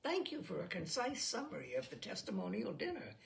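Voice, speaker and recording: A woman voicing a courteous male questioner who methodically examines a witness in a humorous story, formal and measured. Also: mid-range voice, wildly swooping pitch, vocal fry, slight background noise, full character voice. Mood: polite, inquiring. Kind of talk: humorous short story read aloud.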